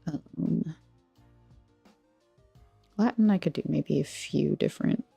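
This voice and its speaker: An American woman chats casually with her viewers in a lowish voice full of vocal fry. She rambles playfully and pokes fun at herself. The sound is close and dry, with music playing behind her. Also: takes it slowly, dark tone, no echo. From Texas, United States. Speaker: craft livestream host. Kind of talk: casual chat with viewers while crafting. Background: background music.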